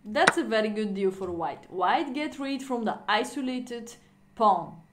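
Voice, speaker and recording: A woman's voice: fairly high pitch, expressive, a little slow, background noise. Chatty woman. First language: Bulgarian. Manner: chatty, laughing, non-native phrasing. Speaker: chess streamer. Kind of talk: casual live-stream commentary. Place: indoor room at home.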